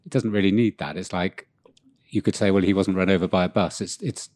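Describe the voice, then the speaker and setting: A man's voice: deep voice, steady tone, brisk, darkish tone, dry recording. A man co-hosting a podcast in casual chat, fighting a cold, with dry self-deprecating humor. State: has a cold. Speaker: podcast co-host. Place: room with co-host far off.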